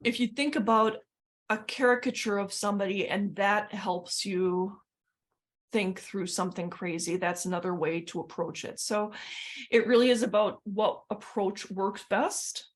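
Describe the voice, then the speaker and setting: A woman's voice: medium pitch, normal speed. Woman leading an informal training session. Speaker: workshop trainer.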